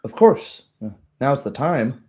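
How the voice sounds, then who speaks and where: A man voicing a small, brisk female gnome scholar in a tabletop game, matter-of-fact in manner. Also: medium pitch, sing-song, a little mellow, voice pushed up. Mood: obliging.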